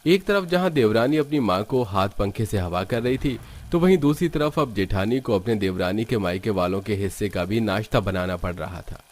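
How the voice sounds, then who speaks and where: Low-voiced man narrating a story. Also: expressive, moderate pace, few pauses, a little mellow, slight background noise.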